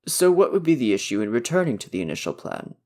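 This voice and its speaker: A man playing an earnest, diplomatic prince in an audio roleplay, with courteous, measured and articulate speech. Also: medium pitch, very animated, a little mellow. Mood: diplomatic, reasoning. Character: polite, diplomatic young prince, earnest and patient.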